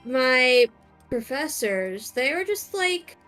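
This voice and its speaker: A woman's voice: sits high, sing-song, takes it slowly, a bit bright, soft background music. A young woman streaming a game, chatting casually with lots of fillers and restarts. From United States. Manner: hesitant, many fillers and restarts. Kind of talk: casual chat.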